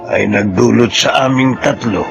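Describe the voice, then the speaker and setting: A man's voice: fairly low pitch, a little slow, gravelly, music in the background. An actor with a Filipino accent playing an anxious, reflective man of sixty in a radio drama. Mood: somber, reflective. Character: anxious sixty-year-old village man, reflective and sociable.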